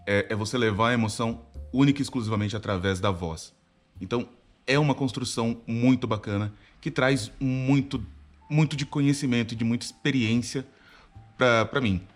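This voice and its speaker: A man's voice: mid-range voice, normal speed. A Brazilian actor, a man, speaking casually in an interview about his work.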